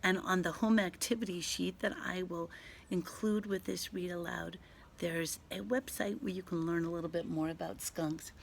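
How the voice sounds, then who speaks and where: A woman teacher doing an interactive read-aloud for children outdoors, expressive, asking questions and pausing for answers. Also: lowish voice, gravelly. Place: outdoors in a back garden. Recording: faint outdoor background noise.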